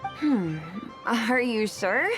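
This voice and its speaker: A polite video game stallkeeper. She speaks slowly in a highish voice, welcoming but mildly hesitant. There is faint music in the background. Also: lively intonation, fairly bright tone, full character voice. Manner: courteous, tentative.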